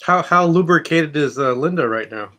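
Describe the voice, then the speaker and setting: A man's voice: fairly high pitch, expressive, moderate pace. A man teasing friends in a casual chat. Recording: noticeable background noise.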